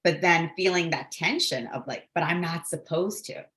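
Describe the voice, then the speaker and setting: A woman's voice: on the low side, normal speed, fairly bright tone. A woman from Bangladesh speaking reflectively on a panel, with many "you know" fillers. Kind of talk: casual panel discussion.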